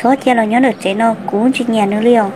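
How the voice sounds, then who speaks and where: A woman voicing a female character in an amateur animated cartoon drama. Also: on the high side, fast, noisy background, natural voice. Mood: conversational. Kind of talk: hobby animated cartoon dialogue.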